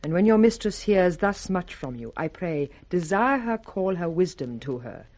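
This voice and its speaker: A woman's voice: lowish voice, animated, moderate pace, darkish tone, lightly acted. An actress playing a cold, jealous, scheming noblewoman, commanding and insinuating, with a British accent in 1960s radio Shakespeare.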